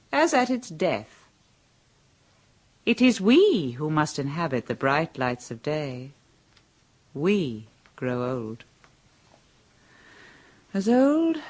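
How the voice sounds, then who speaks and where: An actress playing a melancholy, mysterious contessa, speaking in a languid, brooding way in an old radio drama. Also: female voice, low-pitched, heavily acted. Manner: languid, brooding, philosophical delivery. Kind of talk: 1970s radio drama acting.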